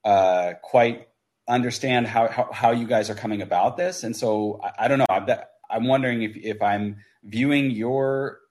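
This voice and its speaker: A man calling in to a talk show, laying out his view in a measured way and hedging with "sort of". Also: mid-range voice, darkish tone. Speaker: caller.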